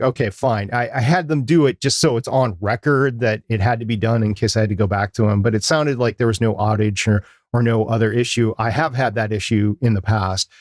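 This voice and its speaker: A man's voice: medium pitch, no room sound. A male podcast co-host with a mid-range voice talks casually and explains things in a chatty, storytelling manner. The recording is dry, with no room sound.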